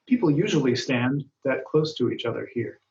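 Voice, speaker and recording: A man's voice: medium pitch, expressive, vocal fry, darkish tone. Patient male english teacher, roomy far-off sound. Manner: patient, deliberate, lifts his voice when reading quoted lines. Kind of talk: slow, clear grammar lesson, reading example dialogues. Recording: dull, roomy sound from a distance.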